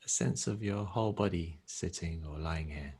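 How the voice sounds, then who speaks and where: A man with a deep voice and a husky grain leads a guided meditation. He speaks gently and takes his time, leaving long pauses between phrases as a teacher would.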